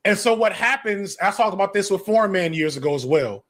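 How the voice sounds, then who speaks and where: An American man giving opinionated, off-the-cuff commentary in an animated, emphatic, argumentative way, as a video essayist. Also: high-pitched, fairly quick. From United States.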